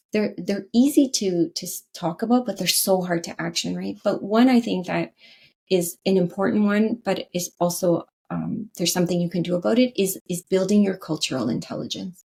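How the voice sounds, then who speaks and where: A woman's voice: on the low side, moderate pace, vocal fry, darkish tone. A Canadian woman, a guest in an interview, talking earnestly and often checking in with "right?". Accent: Canadian English. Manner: earnest, frequent "right?" tags and restarts. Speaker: podcast guest, leadership consultant. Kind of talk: interview conversation.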